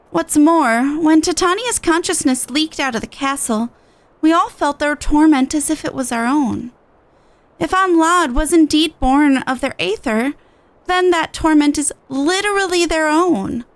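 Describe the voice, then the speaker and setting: A woman's voice: sits high, no echo. A woman voicing a whimsical, sentimental little pixie from a video game, chatty and given to musing aloud. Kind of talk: acted reading of video game dialogue. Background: game music.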